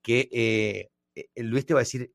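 A man's voice: medium pitch, steady tone, measured pace, creaky, dry recording, clean studio sound. A man with an Argentine accent hosting a conversational interview, circling and rephrasing as he builds his questions. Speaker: show host, interviewer.

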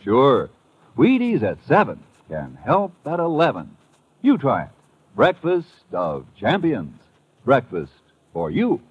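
A man's voice: medium pitch, huge pitch swings, slow, slightly rough. An American man delivering a folksy, emphatic hard-sell commercial in 1950s radio style. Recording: very muffled old radio recording.

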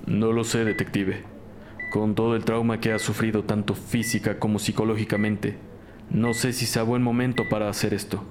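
An actor playing a protective hospital doctor in an audio drama, speaking in a measured, cautious way. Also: male voice, lowish voice, flat delivery, noisy background, close to an everyday voice. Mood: calm, concerned.

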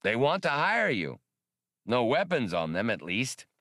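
A man reading aloud and voicing an outgoing female alien primate wheeler-dealer in a high, lilting put-on voice. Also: huge pitch swings, a little slow, fairly bright tone, no room sound, studio quality, heavily acted. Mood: reassuring.

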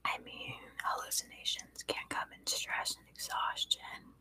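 A woman podcast host narrating true crime in a breathy, unvoiced ASMR whisper, in a hushed, informal storytelling manner. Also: a little slow.

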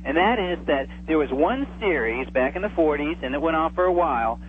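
A man's voice: on the high side, lively intonation, fairly quick. A man chatting casually and enthusiastically as a guest on a radio interview, calling in by telephone. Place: remote, calling in to a radio show. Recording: tinny phone line with noticeable hum.

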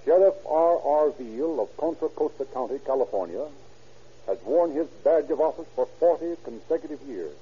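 A man's voice: fairly high pitch, lively intonation, normal speed, mellow, husky. A male announcer with a formal, ceremonious 1930s radio delivery, on a dull old recording.

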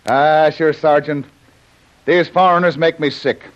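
An actor playing a bumbling, talkative guard in a vintage radio serial, stammering and repeating himself. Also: male voice, a little high, fairly even intonation, unhurried, lightly acted. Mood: chatty, earnest. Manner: stammering, flustered, repetitive. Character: bumbling, garrulous, eager Irish guard, boastful underling. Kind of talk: 1940s radio serial acting. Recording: muffled old radio serial recording.

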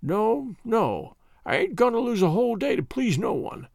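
A man voicing a frail, stubborn old sailor who speaks in rough old-timer dialect. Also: high-pitched, very animated, moderate pace, darkish tone, no echo, studio quality, pitched above the performer's own voice, full character voice. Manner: stubborn, grumbling. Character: frail, petulant old male sailor in disguise.

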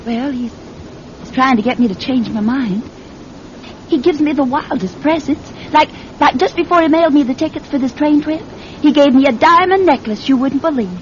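An actress plays a naive, lovestruck young woman in an old radio play. She speaks in a fairly high voice, halting and earnestly pleading. The sound is muffled, over a low noisy rumble. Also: lightly acted. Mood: earnest, confiding. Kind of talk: old-time radio drama acting.

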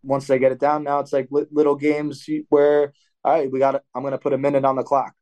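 A man's voice: medium pitch, a little fast, lo-fi sound. Rambling young man coaching talk. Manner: rambling, self-correcting, animated when acting out drills. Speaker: wrestling coach and athlete, guest. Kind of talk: casual interview talk.

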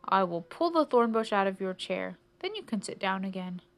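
A woman voicing a kind, helpful storybook policeman who is calm, gentle and earnest. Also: medium pitch, moderate pace. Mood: concerned.

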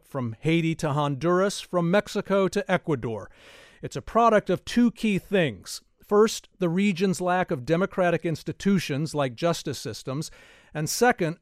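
A man hosting a public radio talk show from a studio, speaking in a measured, clearly enunciated way. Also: on the high side, no echo. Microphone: studio microphone.

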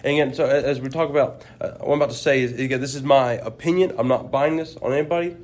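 A man's voice: mid-range voice, steady tone, fast, darkish tone. A man co-hosts a casual religious podcast. He speaks earnestly and thoughtfully, circling back and restarting his phrases as he works out his point. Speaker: podcast co-host.

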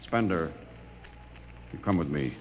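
An echoing vintage radio recording with faint noise, featuring a spaceship captain. He sounds authoritative and reasonable, with lively swings of pitch. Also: takes it slowly, muffled recording, full character voice. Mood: stern. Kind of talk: old-time radio drama acting.

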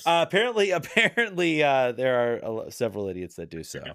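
A man guesting on a casual soccer podcast, talking in halting bursts with many restarts and wry laughs. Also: mid-range voice, huge pitch swings, fairly bright tone, no room sound.

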